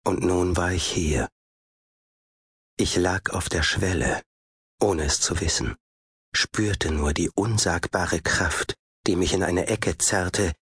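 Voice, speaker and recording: A man's voice: low voice, husky, no room sound, clean studio sound, exaggerated voice. An actor playing a nervous, fatalistic man who tells of his own misfortune in an audio drama, brooding and resigned, at times agitated. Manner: brooding, resigned narration breaking into agitated dialogue. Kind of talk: audio drama acting with first-person narration. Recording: loud noise under the voice.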